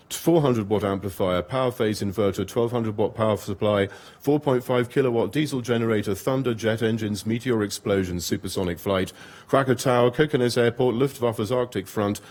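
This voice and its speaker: A man giving an academic lecture, reading long lists in a steady rhythm with occasional small stumbles. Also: on the low side. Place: lecture hall.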